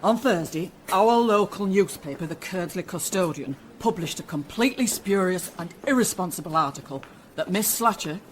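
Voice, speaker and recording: Precise, sardonic British doctor. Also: male voice, high-pitched, animated, normal speed, slight background noise. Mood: indignant. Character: fussy, sardonic hospital doctor. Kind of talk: radio drama acting.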